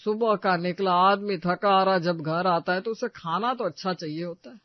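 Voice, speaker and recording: A man's voice: sits high, moderate pace, dry recording, dull audio, lightly acted. A man voicing a grumbling, stubborn older grandfather who is greedy for his food in a cartoon story. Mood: indignant, boastful. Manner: complaining, stubborn.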